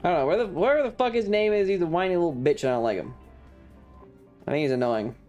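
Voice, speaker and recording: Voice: medium pitch, lively intonation, fast, darkish tone, soft background music. A streamer giving casual live commentary on a video game in an irreverent, sweary, rambling way.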